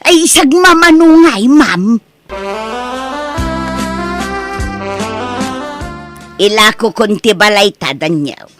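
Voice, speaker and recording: A woman's voice: a little high, expressive, unhurried, over music. A woman with an Ilocano accent from the Philippines playing a scheming, outspoken middle-aged wife in a radio-style comedy drama, brash and argumentative. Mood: polite, eager.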